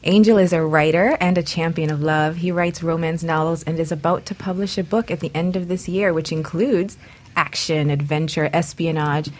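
A woman with a fairly low voice hosts a talk show. She moves between introductions and banter in a playful way. Her manner is flirty and teasing. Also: steady tone, moderate pace.

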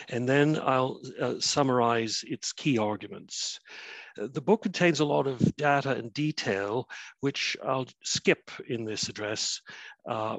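A Canadian man in his fifties, an author giving a prepared remote lecture, measured and full of uh and um fillers. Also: medium pitch, dry recording, poor audio. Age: 50s. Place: his flat, remote talk.

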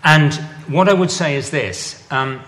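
A man's voice: on the high side, lively intonation, a little slow, fairly bright tone. A man answering audience questions after a talk, thoughtful and hesitant, often repeating words as he searches for them. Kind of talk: lecture Q&A.

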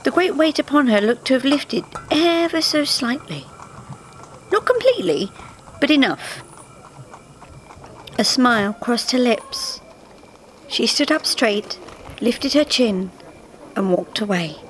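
A woman reading a scripted story to a live audience in a measured, dramatic way. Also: on the high side, very animated. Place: theatre stage, live reading. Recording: live theatre recording with faint background noise.

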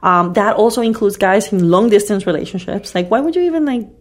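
A woman's voice: mid-range voice, animated, brisk. A woman co-hosting a casual chat, giving frank, emphatic dating advice and laughing often. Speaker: podcast co-host.

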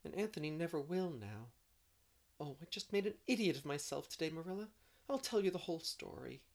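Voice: medium pitch, very animated, a little fast, voice pushed up. A narrator voicing an emotional young woman teacher who sobs and pleads over her shame. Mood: distressed. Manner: sobbing, pleading, heightened.

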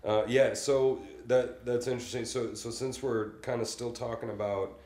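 A man's voice: medium pitch, fairly even intonation, moderate pace, a little mellow, echoey room. A man hosting a podcast, laid-back and rambling as he asks questions and shares his own experience. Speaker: podcast host. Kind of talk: casual podcast interview.

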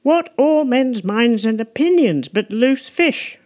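A woman narrating a literary audiobook in a measured, rhetorical manner. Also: a little high, animated, darkish tone. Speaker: audiobook reader.

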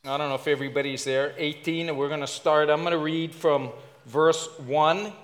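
A man from Hawaii with a Hawaiian accent, filling in as guest preacher and giving a casual, halting sermon. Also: a little high, fairly even intonation, a bit bright. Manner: casual, self-deprecating, halting with fillers.

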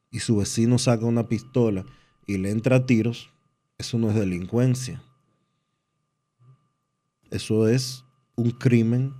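A man, co-hosting a radio sports show from the booth and giving his opinion in an earnest, measured way with hesitant fillers. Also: on the low side, mellow. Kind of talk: radio commentary.

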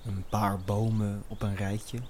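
A man narrating an audio drama in scripted, suspenseful short phrases with long pauses. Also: lowish voice, little pitch movement, a little mellow, a little hiss or hum. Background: music.

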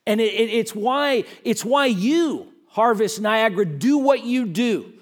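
A man preaching a sermon as a guest speaker. He stresses his points, repeats phrases and restarts them, and moves between quiet, slow stretches and loud, quick ones. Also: extremely high pitch, expressive.

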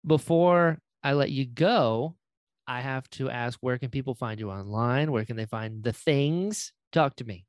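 A man's voice: mid-range voice, a little slow, no room sound, studio quality. A man hosting a casual interview podcast, speaking enthusiastically and readily affirming his guest. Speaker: podcast host.

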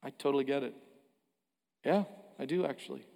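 A pastor from Canada giving a sermon in a conversational way, with joking asides and frequent restarts. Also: male voice, mid-range voice, a little fast, gravelly, dark tone. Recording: long hall reverb.

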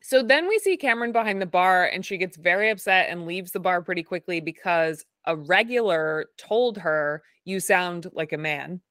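A woman hosting a casual recap chat in a fairly low, masculine-leaning voice, dry and wry, laughing at her own jokes. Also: moderate pace, few pauses, fairly bright tone, no echo. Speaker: podcast host.

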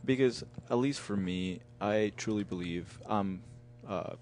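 A man's voice: lowish voice, a little slow, a little mellow, a little hiss or hum. A young man hosting a podcast, talking casually and reflectively in an earnest way. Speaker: podcast host.

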